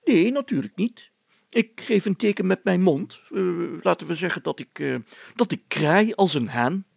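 Storybook mayor performed by a male reader: pompous, excitable adventurer, heavy creak, husky, dry close sound. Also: high-pitched, moderate pace, no room sound, voice pushed up, exaggerated voice. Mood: blustering, self-assured. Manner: pompous, exclamatory, chatty. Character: excitable, self-important, adventurous village mayor, a man.

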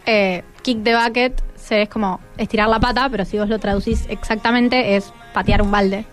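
A woman's voice: mid-range voice, steady tone, normal speed, soft background music. A teenage female student with an Argentine accent, explaining informally and a little hesitantly as a radio guest, thinking aloud. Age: teens.

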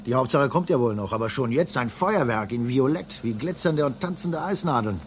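An actor playing an excitable, sardonic space station engineer in a German radio drama, talking colloquially and emphatically. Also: male voice, medium pitch, normal speed, a little hiss or hum, close to an everyday voice. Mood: wry.

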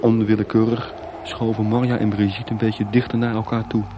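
A man's voice: on the low side, level tone, fairly quick, mellow, a little hiss or hum. A man narrating a radio play as scripted, dramatic storytelling. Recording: music bed behind. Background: music.

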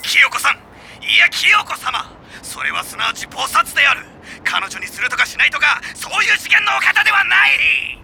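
A man's voice: very high-pitched, animated, moderate pace, gravelly, a little hiss or hum, full character voice. An actor playing a bombastic teenage boy in an anime audio drama, preaching worship of his idol in a pompous, theatrical way. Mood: indignant fervor. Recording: loud music under the voice.

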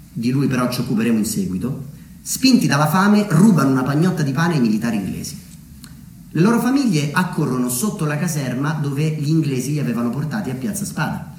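A man delivering a theatrical narrative monologue, deliberately, with dramatic pauses and emphasis. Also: mid-range voice, darkish tone. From Umbria, Italy. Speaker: solo theatre storyteller. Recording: roomy echo with background noise.

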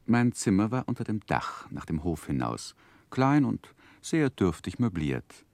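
A man's voice: fairly low pitch, big pitch swings, measured pace, vocal fry, darkish tone, no room sound, heavily acted. An actor playing a grown man who earnestly recalls his boyhood, narrating in a literary 1960s German radio play style. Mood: reflective, wry.

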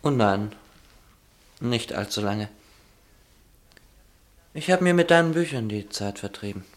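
An actor playing a guarded, ironic young upper-class son in a radio drama, with a clipped, dryly teasing way of talking. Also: male voice, on the low side, animated, unhurried. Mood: uneasy. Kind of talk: German radio drama acting.

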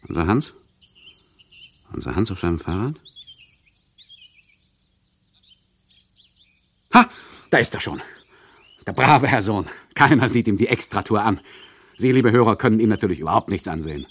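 An actor playing an ironic, mock-polite and condescending radio play narrator who addresses the listeners. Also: male voice, on the low side, sing-song, normal speed, pauses often, no room sound. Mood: mock anticipation.

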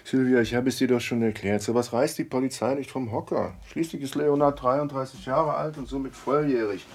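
A man's voice: medium pitch, fairly even intonation, a little fast, close to an everyday voice. An actor playing a sceptical, wry man in his thirties, an amateur investigator in a German radio drama. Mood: wry, dismissive. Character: sceptical, wry younger brother, an architect turned amateur investigator.

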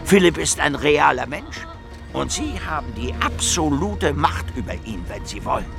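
An actor playing a sly, insistent stranger who offers sinister power in a radio play, theatrical, commanding and creaky. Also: male voice, on the high side, very animated, normal speed, music in the background. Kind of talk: German radio play acting.

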